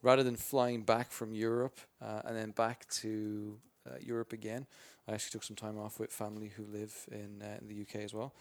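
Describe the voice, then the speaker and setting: A man with a booming voice answering interview questions as a spokesperson, energetic and rambling with many restarts. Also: fairly quick, few pauses. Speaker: game franchise spokesperson.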